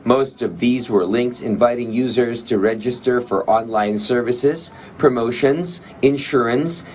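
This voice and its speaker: Male reporter, Filipino accent, average pitch, reading a news package with slight noise behind. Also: measured pace, a little mellow. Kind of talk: scripted news narration.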